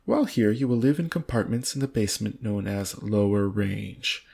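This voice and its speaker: A man's voice: fairly low pitch, expressive, normal speed, vocal fry, darkish tone, husky, full character voice. A man voicing a lofty, complacent aristocrat in a storybook read aloud, with a pompous, genteel manner. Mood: brisk, condescending. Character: lofty, complacent English earl, casually boastful aristocrat.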